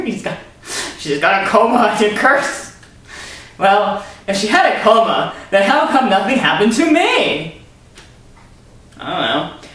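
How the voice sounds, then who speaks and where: A man's voice: high voice, a bit bright. A man reading a story aloud theatrically, breaking in with sarcastic asides as commentary. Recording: very echoey room, distant mic.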